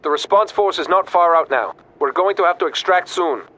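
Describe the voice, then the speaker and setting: An actor playing a businesslike allied officer making formal, precise radio reports in a video game. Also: male voice, high voice, fairly quick, bright, forceful tone. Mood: serious, reporting.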